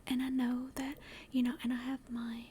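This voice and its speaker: A woman chatting casually to livestream viewers in a soft, breathy ASMR whisper, gentle and rambling, close to the microphone. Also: level tone, moderate pace, slight background noise. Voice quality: soft, breathy whisper and hushed low-volume speech. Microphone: close directional microphone.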